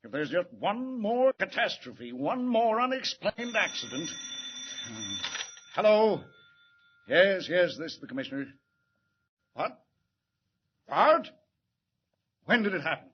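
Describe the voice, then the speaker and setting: An actor playing an anxious, gruff middle-aged male police commissioner in 1940s radio acting. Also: high-pitched, big pitch swings, takes it slowly, pauses often, slight background noise, full character voice. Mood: urgent. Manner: gruff, worried, urgent. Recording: muffled vintage radio broadcast.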